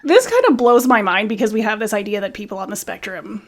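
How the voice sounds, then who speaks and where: A woman hosting a podcast, listening closely and chatting in a relaxed, conversational way. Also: mid-range voice, fast. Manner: attentive, frequent "mm-hmm", laughs along. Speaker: podcast host. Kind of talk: casual interview chat.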